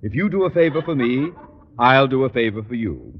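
An actor playing a pompous, long-winded male high school principal in a 1950s radio sitcom. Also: expressive, a little fast, slight background noise, lightly acted. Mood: blustering. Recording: old radio broadcast, muffled with reverb.

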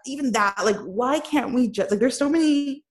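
A woman talking as a podcast guest in casual chat, emphatic and animated, often repeating words. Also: sits high, moderate pace.

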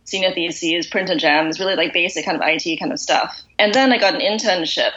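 A woman from Singapore, a podcast guest, talking in a relaxed, thoughtful way with many fillers. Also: lowish voice, a little fast, muffled recording. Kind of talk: casual interview.

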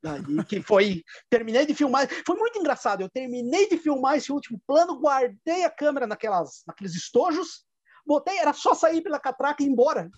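A man's voice: extremely high pitch, sing-song, a little fast, no room sound. Gaúcho-accented man telling rambling anecdotes. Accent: Rio Grande do Sul. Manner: rambling anecdotes with frequent restarts and laughs. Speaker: filmmaker guest. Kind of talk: casual storytelling interview.

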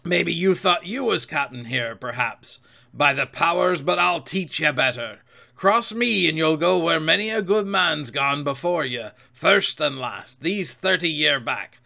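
A man voicing a domineering, menacing older pirate captain in rough seafaring dialect, blustering and commanding, for a storybook reading. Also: on the high side, animated, measured pace, bright, forceful tone, voice pushed up, full character voice. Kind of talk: audiobook character voice.

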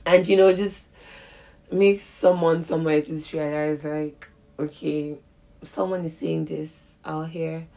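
Woman, Nigerian accent, podcast host, slightly low voice, informal, animated, slangy. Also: slow, creaky. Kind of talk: casual chat.

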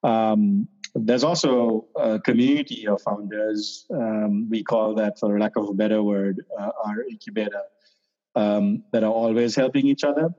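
Hesitant low-voiced man, muffled. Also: steady tone, normal speed, warm tone. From Sri Lanka. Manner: hesitant, many fillers and restarts. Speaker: startup accelerator founder, guest. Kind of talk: casual interview answers. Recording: dull lo-fi sound.

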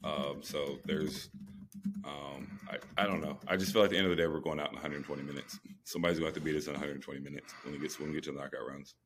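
Man, extremely low voice, quick pace, slangy casual sports podcast chat, repeats for emphasis. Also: fairly even intonation, fairly bright tone, slight background noise.

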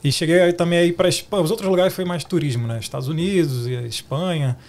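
A man hosting a podcast, asking relaxed follow-up questions in casual chat. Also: on the high side, fast. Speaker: podcast host.

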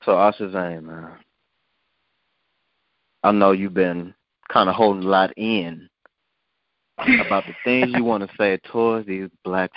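A man's voice: deep voice, no echo. A man hosting a podcast, talking in a blunt, provocative, preachy way. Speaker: podcast host. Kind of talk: casual podcast talk. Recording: dull lo-fi sound.

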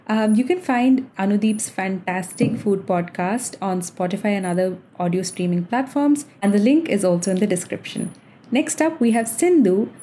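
A woman's voice: medium pitch, mellow, a little hiss or hum. A woman from India hosting a podcast, talking in a cheerful, chatty way with some fillers. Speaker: podcast host. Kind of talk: casual podcast talk.

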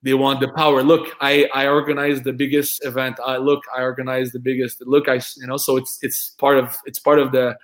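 A man's voice: mid-range voice, little pitch movement, a little fast, hardly any pauses. A man with a French accent talking casually, often repeating words and restarting sentences as he looks for the next word. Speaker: fight manager and promoter. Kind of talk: casual podcast chat.

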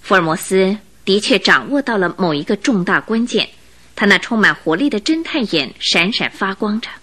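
Female narrator, mid-pitched, lively intonation, expressive storytelling, scripted radio drama. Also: normal speed.

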